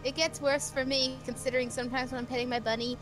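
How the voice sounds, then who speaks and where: A woman's voice: high voice, level tone, fast. A woman with a playful manner, chatting casually with friends during a video game. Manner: playful, baby-talks about a pet. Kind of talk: casual group banter. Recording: background game music.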